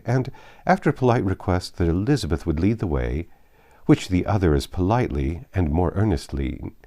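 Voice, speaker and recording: A man narrates literary fiction in a deep voice. His tone is mellow, and his delivery swings widely in pitch, with the shape of scripted storytelling. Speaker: audiobook narrator.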